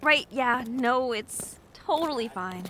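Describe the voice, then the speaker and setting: An actress playing an anxious, apologetic young bookshop clerk in an audio drama, speaking casually and hesitantly. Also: female voice, on the high side, expressive, takes it slowly, a bit bright, slight background noise. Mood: flustered. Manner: hesitant, self-deprecating, casual. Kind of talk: naturalistic audio drama acting.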